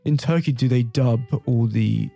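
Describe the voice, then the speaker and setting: A man's voice: mid-range voice, moderate pace, gravelly, dark tone, faint music behind. A man chatting casually as host of a discussion. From Brazil and the UK. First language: English. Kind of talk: casual chat.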